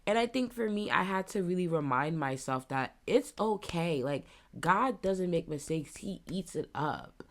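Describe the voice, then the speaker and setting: A young woman in her twenties with a stuffy nose, hosting a casual solo podcast and thinking out loud as she rambles. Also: lowish voice, lively intonation, measured pace, few pauses. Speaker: podcast host.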